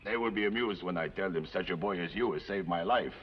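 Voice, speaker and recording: Courtly mexican bandit in an old tv western, low voice, mexican accent, florid talk, muffled sound. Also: male voice, fast, husky. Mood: amused, warm. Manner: florid, formal speech sprinkled with Spanish. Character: Mexican outlaw man of forty-five, courtly, grateful, wry. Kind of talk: 1950s television western acting.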